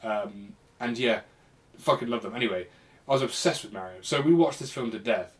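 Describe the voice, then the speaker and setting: A man's voice: medium pitch, a little fast. A man talks casually as a podcast co-host. His language is loose and sweary, in an everyday conversational style. His voice sits at some distance from the microphone. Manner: sweary, informal.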